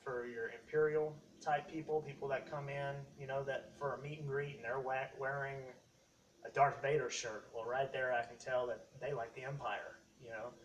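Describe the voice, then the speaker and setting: A man casually demonstrating hobby gear as a video host, explaining in a halting, rambling way. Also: mid-range voice, little pitch movement. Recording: distant mic, faint background noise.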